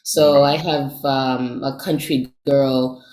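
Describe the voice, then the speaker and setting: A woman's voice: very deep voice, fairly even intonation, slow pace, a little mellow, echoey room, a little hiss or hum, poor audio. A woman raised in Jamaica, whose first language is Patois, speaking thoughtfully in an interview. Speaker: actor, cast member. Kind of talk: casual interview chat.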